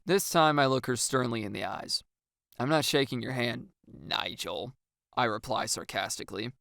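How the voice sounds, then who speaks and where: A narrator reading a first-person story with acted dialogue, in an expressive and wry storytelling manner. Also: medium pitch, normal speed, vocal fry, no echo, studio quality.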